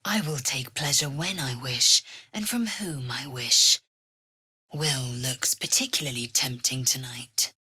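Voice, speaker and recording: A woman's voice: very deep voice, a little slow, bright, forceful tone, husky, dry recording, studio quality, full character voice. An actress playing a fierce, proud alien warrior woman in a video game, speaking in a clipped, imperious, scornful way. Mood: sultry, low. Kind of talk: video game character voice lines.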